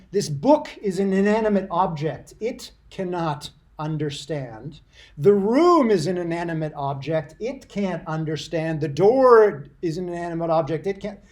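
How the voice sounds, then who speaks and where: A man with a notably high voice for a male speaker gives a university lecture. He explains things deliberately, repeats points for emphasis and puts questions to his class. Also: darkish tone.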